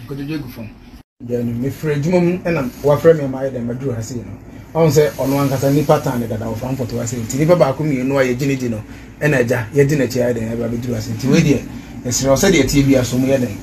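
A man's voice: medium pitch, fast talker, mellow, a little hiss or hum. A man earnestly appealing for a quarrel to be settled. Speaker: companion pleading for peace.

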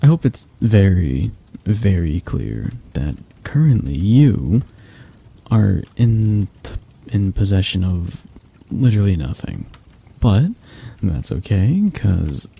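A man playing a possessive, doting mafia boss in an ASMR roleplay, speaking in a husky, halting, intimate and condescending way. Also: low voice, very animated, dark tone, heavily acted. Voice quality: husky, breathy. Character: possessive young male mafia boss, doting yet controlling.

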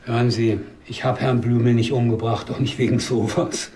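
Man playing a defensive mid-pitched crime suspect. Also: fairly even intonation, normal speed, darkish tone, natural voice. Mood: cornered. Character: defensive, evasive middle-aged male cinema owner.

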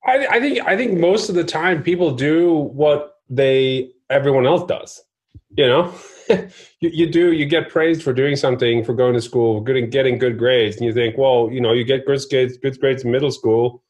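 A man's voice: mid-range voice, normal speed, darkish tone, husky. A man in his forties from Norway, chatting casually and rambling self-deprecatingly as an interview guest on a video call. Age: 40s. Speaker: interview guest, startup investor.

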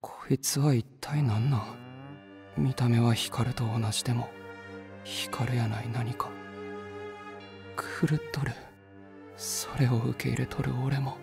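A man playing a brooding, dry teenage schoolboy with a Mie dialect in a voice comic, low-key in manner. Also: steady tone, takes it slowly, creaky, pauses often. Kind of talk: voice comic acting. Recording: faint music and insects behind.

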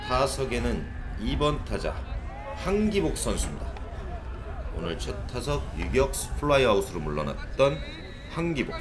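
A man does live play-by-play for an amateur baseball game. His voice sits at a middle pitch and swings widely, going from measured calls to excited shouts. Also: crowd noise. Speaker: play-by-play commentator.

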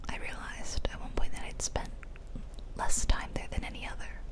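A woman whispering playful ASMR commentary while she plays a video game. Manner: soft, playful, drawn-out whispering. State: tired. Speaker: game streamer.

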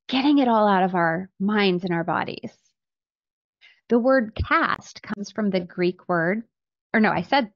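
A woman's voice: mid-range voice, no echo, highs cut off. A middle-aged woman hosting a faith podcast, explaining scripture earnestly into a close studio microphone. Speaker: podcast host. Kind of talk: faith-based podcast monologue, teaching scripture. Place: small home office with bookshelves, indoors. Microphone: close studio microphone on boom arm.

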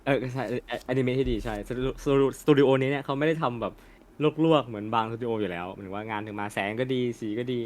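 Fast-talking young man, casual and sweary. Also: mid-range voice, a little mellow. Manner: colloquial, peppered with swearing and slang. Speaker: co-host of an anime discussion channel. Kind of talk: casual chat, opinionated film discussion.

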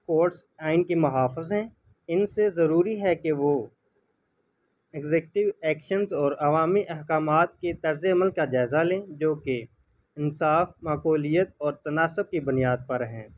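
A man's voice: a little high, moderate pace, rough recording. A man with a Pakistani accent giving formal, instructional narration as a legal lecturer. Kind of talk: scripted explanatory narration.

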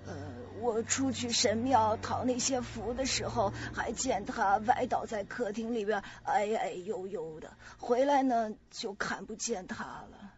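An actress playing a fretful, superstitious older mother in a radio drama, fussing with drawn-out words. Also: fairly high pitch, lightly acted. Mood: fretful, relieved. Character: fretful, superstitious older mother, hard on her daughter-in-law. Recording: dull lo-fi audio with music behind.

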